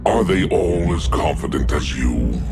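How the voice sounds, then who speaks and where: Demonic blood-hungry scythe, extremely deep, gravelly, slow-spoken, game voice lines, music underneath. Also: male voice, lively intonation, exaggerated voice. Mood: menacing. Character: ancient bloodthirsty demonic living scythe, male. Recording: game audio over music.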